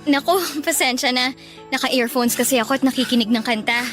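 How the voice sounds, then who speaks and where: Very high-pitched young Filipino college woman. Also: a little fast, a bit bright, soft background music. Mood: apologetic, evasive. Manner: exasperated, dismissive. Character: irritable, evasive young college woman being courted. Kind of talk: Filipino radio drama acting.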